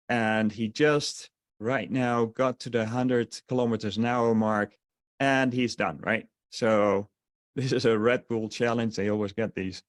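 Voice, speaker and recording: A man's voice: medium pitch, no room sound, studio quality. A man from the Netherlands with a Dutch accent, presenting a sales webinar in a rambling way with many fillers and restarts.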